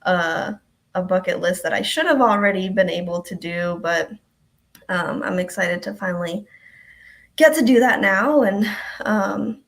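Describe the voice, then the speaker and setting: A woman's voice: mid-range voice, measured pace, gravelly. A young woman from Ecuador, a health department coordinator, chatting casually as a guest on a live stream with many ums.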